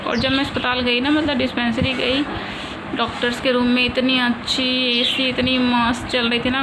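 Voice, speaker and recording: A woman in her thirties, from a village in India, chatting casually and rambling on in a home vlog. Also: a little high. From rural India. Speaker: vlogger. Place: home in the city. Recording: loud background noise, traffic.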